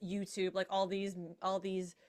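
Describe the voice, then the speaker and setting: A woman's voice: medium pitch, monotone, unhurried, clean tone. A woman speaking as a podcast panel guest in a casual, wry, articulate and digressive way.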